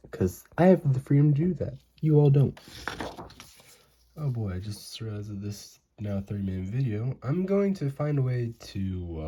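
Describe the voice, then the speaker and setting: Low, dark-voiced man rambling while drawing. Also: husky. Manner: rambling, offhand, thinking aloud. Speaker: drawing channel host. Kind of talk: casual drawing commentary. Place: home room.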